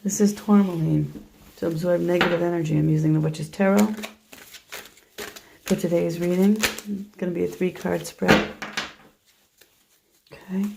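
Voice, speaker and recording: Hoarse, low-voiced woman reading tarot. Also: fairly even intonation, moderate pace, long pauses, background noise. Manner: soft, meandering, half to herself while handling cards. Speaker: tarot reader. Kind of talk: casual tarot reading monologue.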